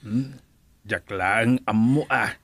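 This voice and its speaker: A man's voice: mid-range voice, slow pace, fairly bright tone, slightly rough, no echo, full character voice. An actor playing an easygoing, kindly older father in a radio drama, with hesitant murmurs and an Ilocano Filipino accent. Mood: mild, easygoing.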